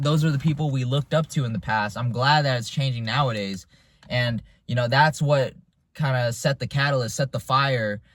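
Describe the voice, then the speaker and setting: A young man in his twenties, from the United States, musing aloud in an unscripted monologue. His voice sits at a middle pitch, and he often restarts sentences and leans on fillers as he thinks. The recording is dry and close, with little room sound. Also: no room sound. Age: 20s. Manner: reflective, rambling, with frequent restarts and fillers. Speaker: vlogger.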